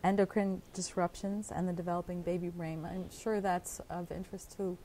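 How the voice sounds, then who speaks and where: A woman's voice: lowish voice, little pitch movement, moderate pace, warm tone, slight background noise. A woman hosting a community access television interview, encouraging her guest with some hesitant fillers.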